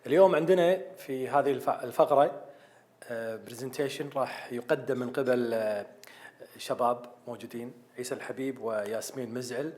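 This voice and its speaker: A man hosting a student conference, announcing the next speakers in a loud, quick way with fillers. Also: medium pitch. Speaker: conference emcee. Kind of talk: event hosting. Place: conference hall.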